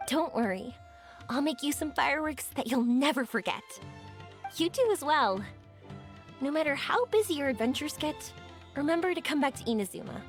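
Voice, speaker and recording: Free-spirited young woman for a game: high, lively pitch swings, bubbly and enthusiastic. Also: moderate pace, soft background music. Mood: warm, upbeat. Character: cheerful, free-spirited young woman who makes fireworks. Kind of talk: video game voice acting.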